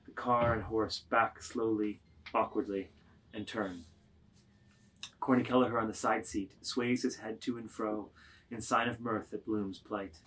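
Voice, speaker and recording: A man with a low voice serves as narrator in a group reading of a literary work. He delivers stage directions as scripted narration. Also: a bit bright, rough recording.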